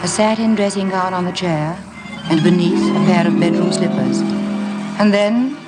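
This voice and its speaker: An actress playing a timid, naive young bride, earnest and emotional, in a 1950s radio drama. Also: female voice, on the low side, moderate pace, natural voice. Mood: haunted, reflective. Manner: earnest, hesitant, breathlessly emotional. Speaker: lead actress and narrator of a radio play. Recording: vintage radio broadcast with orchestral music.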